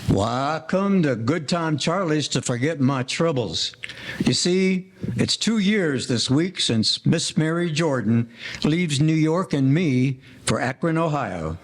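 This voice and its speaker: A man's voice: a little high, animated, slow. A man playing a big, melancholy former bootlegger in a 1940s radio play, speaking in formal phrases. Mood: melancholy, polite.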